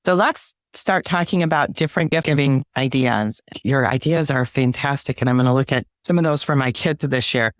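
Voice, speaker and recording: A woman's voice: very deep voice, moderate pace, few pauses, no room sound, studio quality. A woman hosting a personal finance podcast, chatting with a guest in a friendly, conversational way. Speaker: podcast host. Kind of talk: podcast interview.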